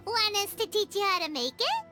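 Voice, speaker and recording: A woman's voice: very high voice, sharp tone, exaggerated voice. A woman voicing a tiny childlike fairy companion in a video game, bubbly, encouraging and exclamatory. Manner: speaks of self in third person, exclamatory.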